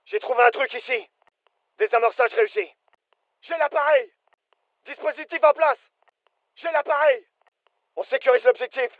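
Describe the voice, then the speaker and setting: High-pitched, clipped soldier, dull sound. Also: male voice, normal speed, long pauses, no room sound. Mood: businesslike. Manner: clipped, urgent commands. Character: British fireteam soldier, alert, businesslike adult man. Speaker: voice actor, French dub. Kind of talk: military shooter game callouts.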